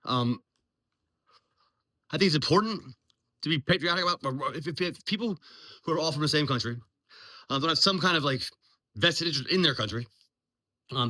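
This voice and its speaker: A man's voice: mid-range voice, bright, forceful tone. A man of almost fifty, talking off the cuff in a blunt, profane, rambling way full of ums. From Virginia, US. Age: 40s. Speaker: military veteran vlogger. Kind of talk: unscripted monologue.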